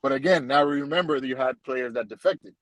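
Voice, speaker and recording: A man's voice: medium pitch, fairly quick, dry recording, muffled recording. Frank, matter-of-fact man, dry sound. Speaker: guest. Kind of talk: casual podcast chat.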